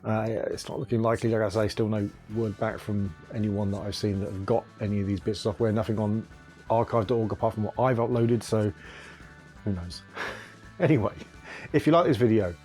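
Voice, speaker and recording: Casual video host, male, lowish voice, earnest thinking-aloud delivery, soft music under him. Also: steady tone, a little fast. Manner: thinks aloud, earnest, restarts and hedges often. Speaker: retro computer video host. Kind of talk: casual explainer talk to camera.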